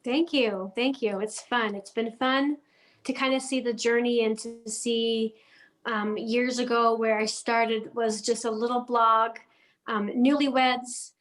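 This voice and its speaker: A woman who grew up in Denmark speaks as a guest decorator in a relaxed interview. Her voice sits on the higher side, and she chats in a friendly way, stopping for ums and small restarts as she goes. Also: a little slow. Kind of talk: casual interview chat.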